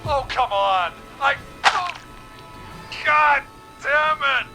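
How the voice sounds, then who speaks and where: An actor playing a trapped, defensive man in a horror game, halting and evasive as he justifies himself. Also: very high voice, animated, over music, full character voice. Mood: exasperated. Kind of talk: scripted game voice acting.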